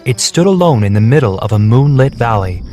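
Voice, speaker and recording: Man, storybook narrator, steady reading, mid pitch, music behind. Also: a little fast. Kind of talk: scripted children's story narration. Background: background music.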